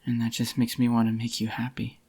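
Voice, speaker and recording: A man playing a doting, possessive yandere boyfriend in a soft-spoken ASMR roleplay, with a tender, intimate murmur and a husky, slightly groggy voice. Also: mid-range voice, monotone, brisk.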